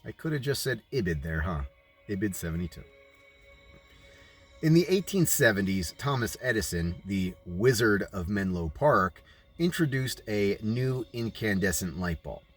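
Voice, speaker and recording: A man reading a book aloud as a narrator, in a deliberate way with informal asides. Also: on the low side, expressive, breathy edge, soft background music.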